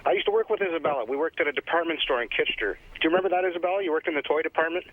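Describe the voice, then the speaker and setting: A man's voice: fairly high pitch, rapid-fire, no room sound. A man phoning in to a radio show, chatting casually over a telephone line. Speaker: radio call-in caller. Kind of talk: casual call-in chat. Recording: tinny, narrow telephone line.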